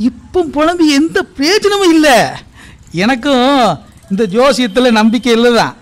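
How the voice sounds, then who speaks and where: A man acting as a professor's teasing best friend in a comic radio drama, familiar and quick to scold. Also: very high-pitched.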